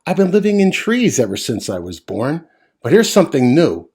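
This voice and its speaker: Man voicing a cheeky storybook bird, chirpy, swooping pitch. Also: very animated, normal speed, a little mellow, husky. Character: bold cheeky male zinazoo bird.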